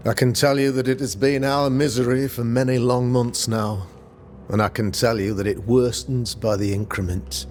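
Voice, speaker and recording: An actor playing a solemn, self-regarding older town governor in a video game, speaking in formal, measured, archaic phrasing. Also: male voice, medium pitch, steady tone, darkish tone, natural voice. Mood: grave. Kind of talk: dramatic video game acting. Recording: music under the voice.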